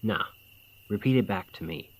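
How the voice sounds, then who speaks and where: A man acting a bandit leader in an ASMR fantasy roleplay, speaking in a colloquial, deliberate, conspiratorial manner. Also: lowish voice, close to an everyday voice. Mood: commanding, hushed planning. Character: gruff but caring male bandit leader, scheming, informal. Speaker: voice actor in audio roleplay.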